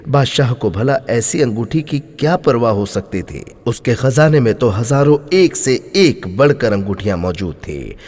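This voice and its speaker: A man tells a scripted moral tale with emphatic expression. His pitch rises and falls freely, and his voice has a husky grain. Everything rings in a long, hall-like reverb. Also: lively intonation, a little slow, hardly any pauses, darkish tone. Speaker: storyteller. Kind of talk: dramatic scripted moral story narration.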